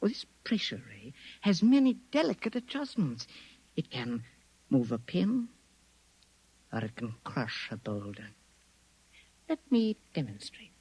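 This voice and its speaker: An actor in a 1950s radio drama playing a cruel, polite Martian invasion leader who speaks with deliberate, gloating menace. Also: male voice, mid-range voice, sing-song, darkish tone, a little hiss or hum, poor audio. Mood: gloating, fierce. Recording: vintage 1950s radio recording.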